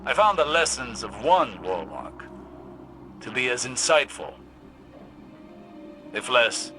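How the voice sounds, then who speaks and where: An actor playing a proud, remorseful old-world warrior in a video game, speaking formally and deliberately. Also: male voice, medium pitch, sing-song, bright, forceful tone, faint music behind, exaggerated voice. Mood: wry, reminiscing. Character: proud, remorseful ancient warrior haunted by his past. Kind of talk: scripted video game cutscene acting.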